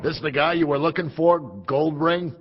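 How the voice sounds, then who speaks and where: An actor playing a matter-of-fact morgue examiner in an audio drama, blunt, clinical and wry. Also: male voice, on the high side, moderate pace, heavy background noise, natural voice. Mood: dry, clinical. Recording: dull, long hall reverb.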